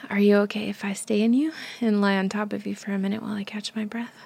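A woman whispering an intimate audio roleplay, breathy, teasing and commanding. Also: medium pitch, darkish tone.

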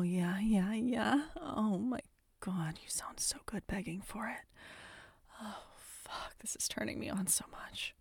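A woman whispering softly and intimately close to the mic, in a slow, hushed audio roleplay. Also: on the low side, vocal fry, breathy edge, no room sound.

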